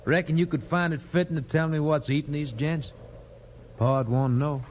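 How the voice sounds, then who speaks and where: An actor playing an unruffled, polite young mountain sharpshooter with a quiet American backwoods drawl on 1950s radio. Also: male voice, on the high side, fairly even intonation, moderate pace, lightly acted. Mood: cool, polite. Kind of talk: 1950s western radio acting. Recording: dull, faintly noisy old radio recording.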